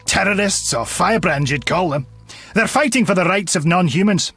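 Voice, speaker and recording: A bitter dwarf townsman from a fantasy game, husky and pitched rather high. He grumbles sarcastically with dark humour, and his pitch swings up and down in a lively way. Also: normal speed, dry recording, soft background music, exaggerated voice. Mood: suspicious, scornful. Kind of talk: video game dialogue acting.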